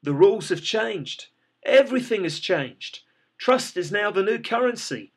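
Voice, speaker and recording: A man's voice: high voice, lively intonation, unhurried, slightly rough, pitched above the performer's own voice, exaggerated voice. A man voicing an urgent male paramedic who explains things firmly and with authority.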